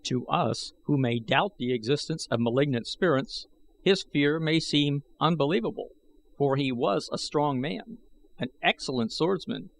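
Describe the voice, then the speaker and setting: A man's voice: fairly high pitch, measured pace, gravelly. A man reading an adventure novel aloud as scripted audiobook narration.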